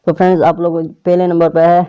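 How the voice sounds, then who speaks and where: A man's voice: fairly high pitch, monotone, a little fast, smooth, lo-fi sound. A man hosting a casual tech tutorial, explaining an app step by step to viewers. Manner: step-by-step instructions addressed to viewers.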